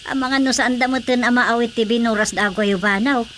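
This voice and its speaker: Chatty Ilocano mother, played by a woman, fairly high voice, radio comedy acting, faint background noise. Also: steady tone, a little fast, fairly bright tone, natural voice. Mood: cheerful, chatty. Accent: Filipino, Ilocano. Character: chatty mother, addressed as nanang.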